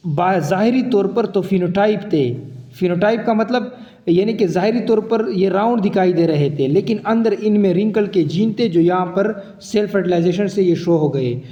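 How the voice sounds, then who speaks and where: A man's voice: sits high, dark tone, lo-fi sound. A man teaching a biology lesson with a sore throat and a cough, explaining step by step and asking himself questions as he goes. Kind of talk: classroom lecture. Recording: echoey room with long reverb.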